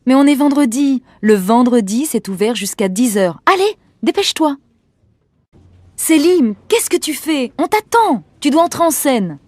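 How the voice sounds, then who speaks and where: An actress playing a brisk, bossy young woman in a clearly enunciated language-lesson dialogue. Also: high voice, lively intonation, darkish tone, dry recording. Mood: urgent, exasperated. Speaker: actor in French language-course dialogues.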